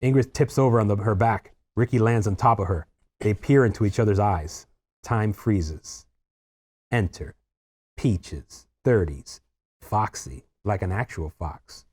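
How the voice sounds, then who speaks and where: A man's voice: on the low side, measured pace, darkish tone. A man narrating the stage directions of a screenplay as a scripted read.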